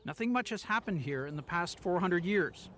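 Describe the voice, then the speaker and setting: A male reporter narrating a news feature in a crisp, wry scripted voice. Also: sits high, lively intonation, moderate pace, a bit bright, slight background noise.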